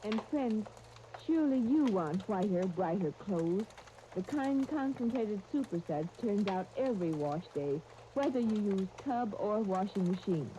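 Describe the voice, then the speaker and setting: A woman's voice: mid-range voice, warm tone, lightly acted. An actress playing a confiding, enthusiastic woman in a 1930s radio commercial. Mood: persuasive. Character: confiding, enthusiastic woman pitching laundry soap to friends. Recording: muffled, hissy 1939 radio broadcast.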